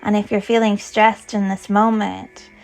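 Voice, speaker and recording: A woman leading a guided yoga nidra meditation as a teacher, with a soothing, gentle, unhurried manner of instruction. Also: medium pitch, little pitch movement, vocal fry, soft background music.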